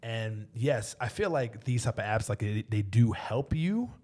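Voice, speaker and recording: Low-voiced podcast host, loose and chatty. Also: male voice, lively intonation, unhurried, darkish tone. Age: 30s. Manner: loose and talkative, heavy "like", mild swearing. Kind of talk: casual podcast interview.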